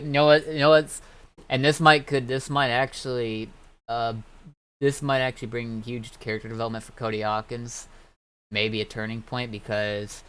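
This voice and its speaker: A man who streams, talking casually in a halting, rambling way and often backtracking mid-sentence. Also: mid-range voice, fairly bright tone, smooth. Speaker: streamer and roleplay server founder. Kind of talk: casual livestream chat.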